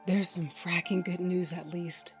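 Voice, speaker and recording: A woman's voice: on the low side, a bit bright. An actress playing a tough, sardonic underworld boss who narrates her own story bluntly in an audio drama. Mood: tense, focused. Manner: blunt, wry. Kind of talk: first-person dramatic narration and acting.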